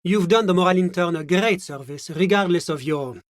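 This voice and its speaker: A suave French government functionary from a video game, performed by a man. His voice sits a little high for a male and is formal, measured and courteous. He sounds diplomatic and evasive, in a very dry recording with no room sound. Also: dry recording, natural voice. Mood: coolly disapproving. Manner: formal, polite, measured, officious. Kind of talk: scripted game character dialogue.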